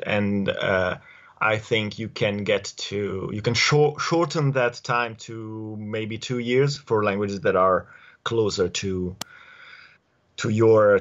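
Italian man, low voice, lo-fi call. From Italy. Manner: halting, many restarts and fillers. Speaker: guest. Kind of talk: casual interview answers. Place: home study with bookshelves. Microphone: earphone headset mic on video call. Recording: dull lo-fi video call audio.

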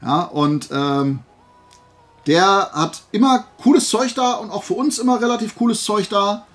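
A man's voice: high voice, a little slow, a bit bright, soft background music. A man hosting a haul video, rambling casually to his viewers, often drifting off track and correcting himself. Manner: chatty, digressive, self-correcting. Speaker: flea market haul video host.

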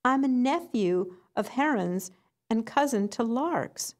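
A woman's voice: fairly high pitch, expressive, takes it slowly, pitched above the performer's own voice. A woman doing Robert, a pleading little duckling. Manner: pleading, timid. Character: small frightened lost duckling boy.

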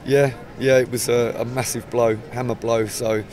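Measured English football manager, flat voice. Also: male voice, medium pitch, slight background noise. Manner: measured, hesitant, many restarts. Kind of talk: post-match interview. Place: outdoors by a football pitch in a stadium. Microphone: handheld mic held up close.

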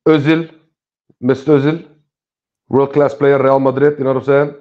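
A man's voice: medium pitch, flat delivery, normal speed, darkish tone, dull audio. A man on a video call chatting casually about football, laid-back and often tagging "you know what I'm saying". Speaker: podcast panelist.

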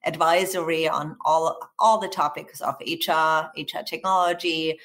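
A middle-aged woman with a blended American and Austrian accent and a fairly low voice. She talks with eager enthusiasm as a podcast guest, stumbling through fillers and restarts. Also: flat delivery, moderate pace, a bit bright. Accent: mix of American and Austrian. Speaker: guest, HR research executive. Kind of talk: podcast interview.

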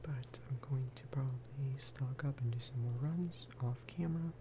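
Casting: male ASMR gamer, hushed soft-spoken delivery, middle pitch, unhurried, noisy recording. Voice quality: soft, hushed voice, at times breathing into whisper. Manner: unhurried, low-key, musing aloud. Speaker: gaming video creator.

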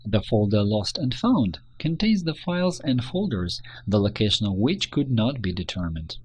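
A man reading a scripted instructional narration in a measured, step-by-step manner. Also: fairly low pitch, sing-song, a little mellow, breathy edge, dry recording. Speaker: tutorial narrator.

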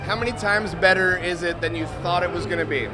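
A man's voice: high voice, brisk. A man in his thirties doing casual, teasing on-the-spot interviews into a handheld microphone. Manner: joking, teasing. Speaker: roving interviewer and musician. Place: busy open deck bars on a cruise ship. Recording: loud music and crowd behind voice.

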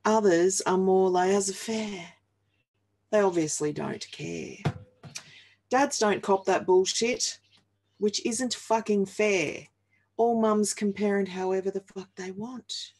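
A woman in her forties with an Australian accent reads comic rhyming verse aloud in a deliberate, wry and playful manner. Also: medium pitch, a little mellow, slight background noise. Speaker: reader of a humorous picture book. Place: small home office, indoors.

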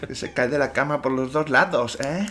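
A man's voice: medium pitch, moderate pace, sharp tone, a little hiss or hum. Man, game host, joking, casual chat, laughs a lot. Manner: joking, chatty, laughs often. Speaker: gameplay host.

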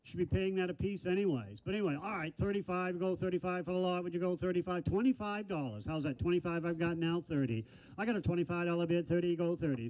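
An older man calling bids as an auctioneer in a large indoor hall, rattling off numbers in a fast chant with folksy asides, speaking into a handheld mic. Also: high voice. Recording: dull lo-fi sound.

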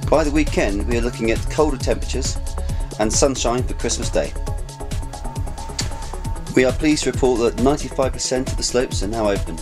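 A man reads a scripted update in an even, upbeat voice of middle pitch. Lively background music plays clearly under his voice-over. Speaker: host and reporter.

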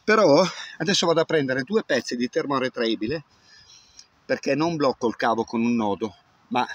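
A man's voice: medium pitch, animated, moderate pace, dry recording. A man in his fifties, an amateur radio operator, giving a casual hands-on demonstration and muttering step by step as he works outdoors. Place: open grassy field outdoors. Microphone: camera at about arm's length.

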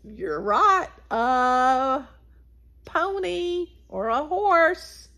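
An older woman in her sixties reads aloud to small children as a story time host. Her voice sits fairly high and moves up and down a lot. She takes it very slowly, drawing words out and addressing the children directly. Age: 60s. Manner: animated, addressing children directly, drawn-out words and animal sounds. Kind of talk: read-aloud for young children. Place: small wood-paneled room indoors.

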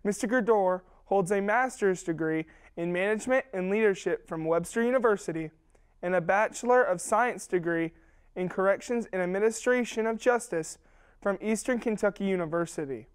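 A young woman presents a scripted introduction in a steady, measured read. Her voice is clear and crisp. She sounds close on a clip-on mic. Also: medium pitch. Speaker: student presenter. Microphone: lapel mic.

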